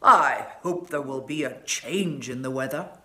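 Woman voicing a gruff, booming selfish giant. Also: expressive, pushed and bright, roomy sound, heavily acted. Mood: gloomy. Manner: gruff, slow, emphatic.

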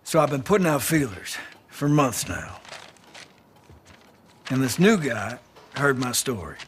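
An actor playing a bitter, weary, rugged middle-aged man in a game, with a halting, folksy drawl. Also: mid-range voice, sing-song, a little hiss or hum. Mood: subdued, earnest. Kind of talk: naturalistic video game cutscene acting.

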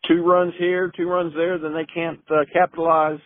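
A man calling in with a measured sports complaint on voicemail. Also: fairly high pitch, little pitch movement, no echo. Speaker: caller. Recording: narrow phone voicemail line.

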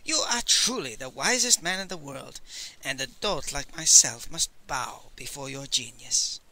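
A man's voice: mid-range voice, expressive, a little slow, sharp tone, exaggerated voice, rough recording. A man voicing a shrewd, witty old male scholar in an audiobook, with emphatic, incredulous exclamations. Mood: admiring. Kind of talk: audiobook character voice.

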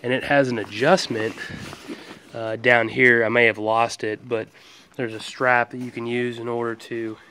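A man's voice: medium pitch, normal speed, a little hiss or hum. Easygoing man rambling through a gear review. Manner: relaxed, rambling, many ums and restarts. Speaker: product reviewer. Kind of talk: casual hands-on product walkthrough. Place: indoors, carpeted room at home.

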